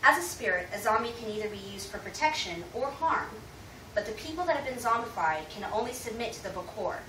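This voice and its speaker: A woman's voice: fairly high pitch, expressive, a little fast, recorded from a distance. An American woman giving a prepared informative speech in a formal, rhetorical presenting style. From United States. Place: indoor room, speaking to an audience. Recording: echoey room from afar, loud noise.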